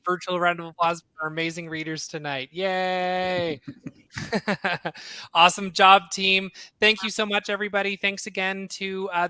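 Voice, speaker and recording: A man's voice: sits high, sharp tone, clear, resonant tone, no echo. A man hosting an event, giving informal thanks to viewers. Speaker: event host. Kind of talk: informal closing thank-you speech.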